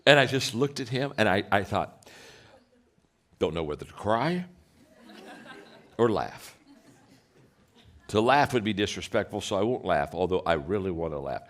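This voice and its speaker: A deep-voiced man gives a motivational talk full of personal stories. His pitch swings widely as he acts out quotes, and he often restarts his sentences. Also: lively intonation, normal speed, long pauses, fairly bright tone. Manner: storytelling with repeated restarts and acted quotes. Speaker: leadership speaker.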